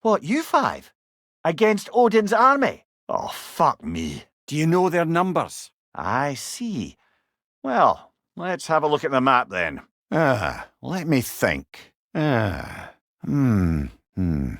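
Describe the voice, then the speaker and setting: An avuncular, bearded old Scottish counsellor, a severed head in a video game. His delivery is wry, courtly and wordy, with a salty streak. The voice sits a little high and moves with lots of melody, in a very dry, close studio sound. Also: wildly swooping pitch, slow pace, fairly bright tone, no echo, full character voice. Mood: probing, pondering. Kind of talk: video game character dialogue.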